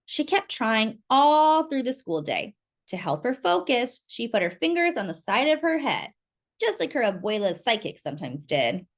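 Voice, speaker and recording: A woman reading a children's picture book aloud, expressive and animated. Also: fairly high pitch, moderate pace. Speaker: storyteller.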